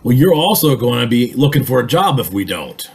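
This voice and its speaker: A man's voice: medium pitch, very animated, fairly quick, husky. A man playing a harried, short-tempered boss in an old-time radio drama, barking orders in exasperation. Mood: exasperated.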